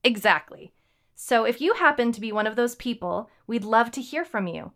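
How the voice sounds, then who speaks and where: A woman's voice: on the high side, expressive, moderate pace, fairly bright tone. A woman co-hosting a casual home-recorded podcast, upbeat and teasing, with fillers. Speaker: writer and podcast co-host. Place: home recording setup in a house.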